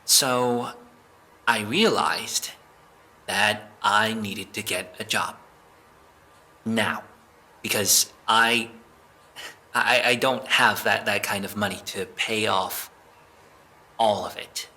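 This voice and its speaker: A man's voice: lowish voice, takes it slowly, long pauses, bright, forceful tone, full character voice. A man playing a flustered, defensive young suspect in an audio drama police interrogation, hesitant and rambling as he explains himself. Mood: anxious, rambling.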